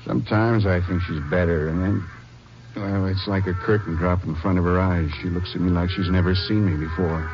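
Folksy, low-voiced rancher husband played by a man. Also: steady tone, fairly quick, over music, close to an everyday voice. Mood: worried. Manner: folksy, solicitous. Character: soft-soaping male rancher, outwardly devoted, anxious husband. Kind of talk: 1950s radio western acting. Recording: muffled, noisy 1950s radio broadcast.

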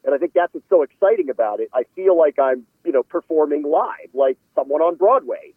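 A man's voice: a little high, lively intonation, fairly quick, husky, dry recording. A man speaking as a guest sports announcer in a casual radio interview, over a thin phone-like line.

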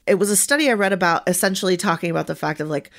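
Lower-voiced woman recapping events on a podcast. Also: very fast, a bit bright. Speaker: podcast co-host. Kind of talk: casual recap chat.

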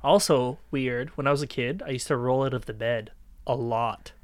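A man's voice: medium pitch, fairly even intonation, normal speed, dry recording. A young man from southwestern Ontario with a Canadian accent, chatting casually as a podcast guest and telling anecdotes. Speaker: podcast guest, the host's brother.

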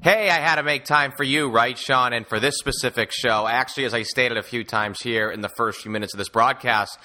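A man's voice: medium pitch, brisk, sharp tone. A man hosting a sports podcast, with an emphatic, salesy radio-style delivery. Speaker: podcast host.

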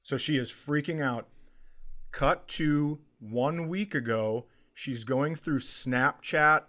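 A man's voice: a little high, slow, pushed and bright. Middle-aged man telling a crude comic anecdote. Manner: crude, swearing, acts out dialogue. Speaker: podcast host, comedian. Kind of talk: casual comedic anecdote telling.

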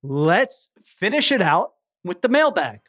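Male sports podcast host, higher-pitched voice. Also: big pitch swings, measured pace, over music. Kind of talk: casual sports talk and host-read ads.

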